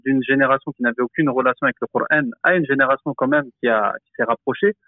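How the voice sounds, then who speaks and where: A man's voice: mid-range voice, steady tone, quick pace, dry recording, phone-quality sound. Male religious teacher, hesitant explainer, dry close sound. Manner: explanatory, hesitant with frequent fillers and restarts. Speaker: Quran teacher and imam. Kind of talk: podcast discussion.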